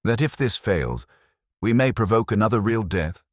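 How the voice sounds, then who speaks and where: A man's voice: fairly low pitch, lively intonation, moderate pace, no echo, studio quality, pitched above the performer's own voice, lightly acted. A man voicing a detective's cautious, puzzled companion in an audio story, earnest and questioning. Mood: cautious, worried.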